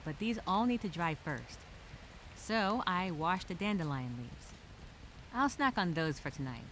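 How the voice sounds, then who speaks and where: A woman voicing a resilient, chatty female castaway telling a survival diary, expressive and talking to herself. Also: mid-range voice, a little hiss or hum. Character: stranded castaway woman journaling, resilient, chatty, wryly upbeat. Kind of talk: first-person diary storytelling, acted.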